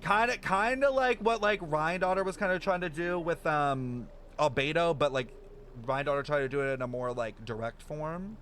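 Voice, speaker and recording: A man's voice: a little high, normal speed, a bit bright, a little hiss or hum. A man streaming a game reaction, making profane, joking, chatty commentary with lots of fillers. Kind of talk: casual live-stream reaction.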